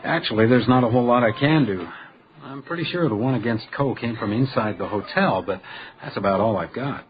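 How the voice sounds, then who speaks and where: An actor playing a dry, guarded 1920s hotel detective with a deadpan delivery in a radio drama. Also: male voice, medium pitch, a little fast, muffled recording, slight background noise, lightly acted. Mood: guarded, matter-of-fact. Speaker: lead character and first-person narrator.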